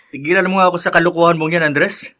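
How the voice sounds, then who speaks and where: A knowing, teasing best friend in a Filipino radio drama. His voice is bright and a bit high, with a Filipino accent and the air of an experienced dad. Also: fairly even intonation, fairly quick. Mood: amused teasing. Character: teasing, worldly-wise friend, experienced father.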